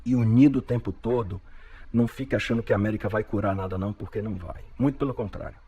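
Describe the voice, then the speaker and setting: A man's voice: fairly low pitch, quick pace, slightly rough, muffled recording. A man from Bahia in his forties with a Bahian Brazilian accent, a guest telling a story animatedly and repeating his words often. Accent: Bahian Brazilian Portuguese. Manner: animated storyteller with frequent repetitions. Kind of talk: storytelling in a podcast interview.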